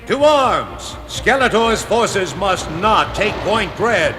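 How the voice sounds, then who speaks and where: Brave cartoon warrior hero, slow earnest delivery w big swoops, music under. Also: male voice, high-pitched, sing-song, sharp tone, full character voice. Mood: rallying. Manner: resolute, declamatory. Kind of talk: 1980s children's cartoon acting.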